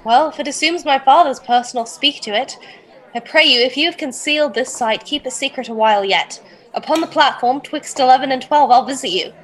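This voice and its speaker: A woman plays a brooding young royal heir with a high voice. The speech is archaic and theatrical, in the manner of Shakespearean drama on a game podcast. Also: fairly quick, a faint crowd, close to an everyday voice, rough recording. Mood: intent.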